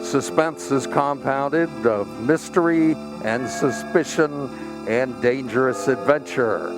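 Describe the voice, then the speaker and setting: A man playing an ominous, formal narrator introducing a 1940s-style radio suspense drama, deliberate and portentous. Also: mid-range voice, close to an everyday voice, low-quality recording. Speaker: announcer. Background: music.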